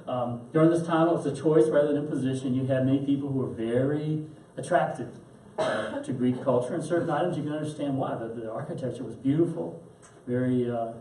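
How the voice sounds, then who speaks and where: A man teaching a class and answering questions in a thoughtful, halting way. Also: medium pitch, dark tone. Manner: thoughtful, halting with fillers and restarts. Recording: roomy echo, mic at a distance, faint hiss.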